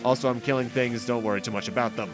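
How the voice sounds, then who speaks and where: Fast-talking man doing game commentary. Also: mid-range voice, steady tone. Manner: rambling, self-interrupting asides. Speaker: host of a game playthrough series. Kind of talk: video game playthrough commentary. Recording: game music behind the voice. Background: video game music.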